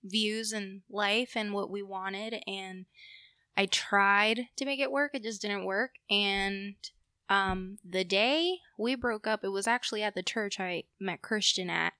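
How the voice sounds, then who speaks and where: A young woman from Puerto Rico with an American accent, telling a personal story casually in a slightly nervous, halting way. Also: medium pitch, pushed and bright, clean tone, no room sound.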